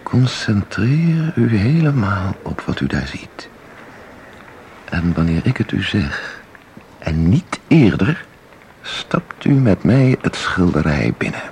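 Radio drama: a hypnotic, evocative, commanding guide; unhurried and creaky, on a noisy recording. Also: male voice, deep voice, big pitch swings, darkish tone, heavily acted. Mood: calm, hypnotic. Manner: slow, deliberate, instructing, evocative. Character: commanding male guide, hypnotically luring someone into a painting. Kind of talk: radio play acting.

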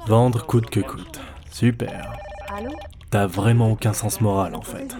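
A man's voice: lowish voice, steady tone, takes it slowly, crowd noise. A man playing a disillusioned but principled insurance adviser and gamer, world-weary and plain-spoken, in an audio drama. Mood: indignant, sarcastic. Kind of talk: scripted audio-drama acting and narration.

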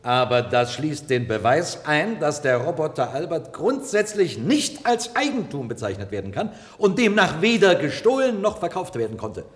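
An actor playing an eloquent, combative defence lawyer in a radio drama, arguing formally with emphatic rhetoric. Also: male voice, a little high, sing-song, normal speed, few pauses. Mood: insistent, argumentative. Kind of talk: radio drama courtroom oratory. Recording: reverberant courtroom-like hall.

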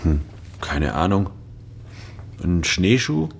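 A male actor playing a reflective, wry anthropologist who narrates a German radio drama in a measured, precise way. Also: low-pitched, big pitch swings, gravelly, a little hiss or hum, heavily acted. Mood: unsure, curious.

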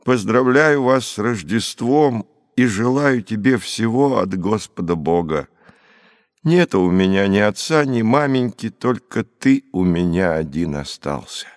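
A man's voice: lowish voice, animated, measured pace, no echo, studio quality, big-room sound. A man voicing a naive, plaintive nine-year-old peasant apprentice boy in an audiobook reading.

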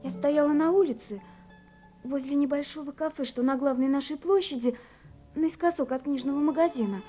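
Actress playing young romantic woman, high mellow voice, unhurried, soft, dreamy, literary radio drama, faint music behind. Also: natural voice. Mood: tender wonder. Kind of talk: literary radio play monologue, letter reading.

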